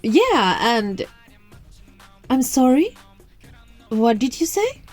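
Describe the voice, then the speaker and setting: A woman's voice: sits high, big pitch swings, very slow, darkish tone, no echo, heavily acted. A woman voicing an alluring, playful witch in an ASMR roleplay, teasing and coaxing the listener, recorded on a phone. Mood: challenging, playful. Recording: phone recording with music and night crickets.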